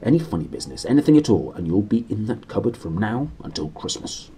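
Storybook uncle: middle-aged man, stern bully, low menacing delivery, put on by a male reader. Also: sing-song, fast talker, warm tone, slight background noise. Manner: stern, threatening.

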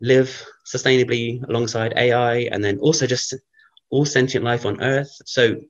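Rambling man with a croaky morning voice. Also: medium pitch, steady tone, normal speed, muffled recording. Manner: rambling, thinks aloud with many fillers. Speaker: guest researcher. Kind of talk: casual interview talk.

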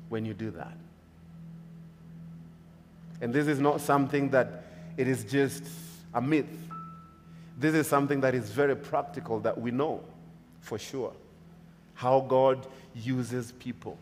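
Kenyan man, pastor, unhurried emphatic sermon, long hall reverb, mid pitch. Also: a little mellow, a little hiss or hum. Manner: emphatic, repetitive preaching cadence. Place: church stage with large hall.

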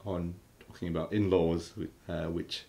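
Man, 30s, very deep voice, quick and halting, home interview. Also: little pitch movement, vocal fry, mellow. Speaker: married couple sharing a testimonial. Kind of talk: casual testimonial. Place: living room sofa, indoors.

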